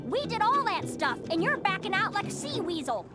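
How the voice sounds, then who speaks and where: Very high, feisty squirrel heroine. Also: female voice, big pitch swings, fairly quick, loud music behind the voice. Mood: combative. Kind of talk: cartoon game character acting.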